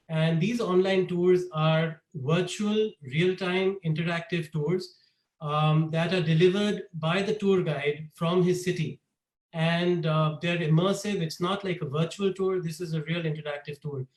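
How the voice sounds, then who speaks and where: A man co-founder giving a relaxed webinar presentation over a video call, with many fillers. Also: fairly high pitch. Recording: echoey room.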